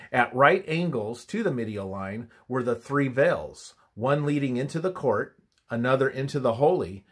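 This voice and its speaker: A man reading a scripted religious study in a measured, instructive manner, his phrases often trailing off softly at the ends. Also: mid-range voice, slightly rough.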